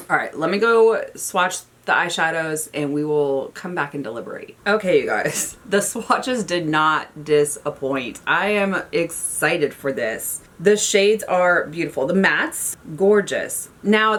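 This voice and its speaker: Young woman, low-pitched, nasal and congested, upbeat casual makeup-channel host. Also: a bit bright. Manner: chatty and enthusiastic, gushing. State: congested, sniffly. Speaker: beauty YouTuber. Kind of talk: casual makeup review vlog.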